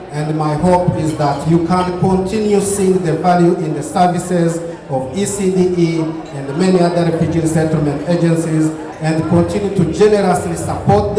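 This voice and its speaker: A man's voice: a little high, mellow. Earnest Ugandan-accented man in an echoey hall. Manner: earnest, repetitive phrasing. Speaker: keynote speaker. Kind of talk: gala speech. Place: banquet hall. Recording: echoey hall with long reverb.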